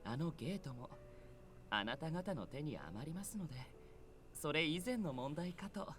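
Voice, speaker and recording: A man's voice: a little high, sing-song, fairly bright tone. An actor playing a polite, composed, logical male character in a visual novel, speaking formally. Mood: calm, reasoning. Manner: formal, measured reasoning. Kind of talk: Japanese visual novel voice acting. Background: game music.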